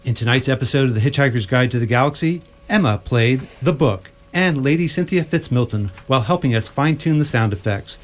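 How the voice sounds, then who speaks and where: A man's voice: medium pitch, lively intonation, brisk, hardly any pauses. A man announcing for a radio theatre troupe in a formal, theatrical scripted style.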